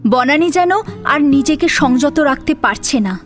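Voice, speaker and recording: Lady narrator, high pitched, dramatic audio story reading, light music under it. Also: expressive, a little slow.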